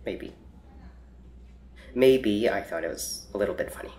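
An actor playing a guarded, pedantic researcher in an audio drama, speaking formally and precisely with some hesitation. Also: male voice, on the low side, measured pace, mellow, lightly acted. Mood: defensive. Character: reserved, pedantic male researcher, anxious and guarded. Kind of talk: naturalistic audio drama dialogue.

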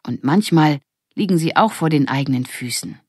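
A woman's voice: deep voice, animated, moderate pace, darkish tone, breathy edge, dry recording, clean studio sound. A woman voicing a confident, teasing older girl who leads a gang, in a children's audiobook. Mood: earnest.

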